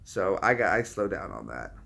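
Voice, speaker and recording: A man's voice: sits low, sing-song, normal speed, gravelly, bright, forceful tone, breathy edge. A man in his thirties hosting a livestream, chatting casually with viewers in a rambling, laid-back way. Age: 30s. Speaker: livestream host.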